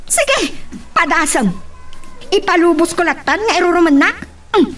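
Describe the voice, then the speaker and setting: A woman's voice: extremely high pitch, animated, moderate pace, over music. A woman playing a wounded, reproachful aunt in a radio family drama. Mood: hurt, reproachful.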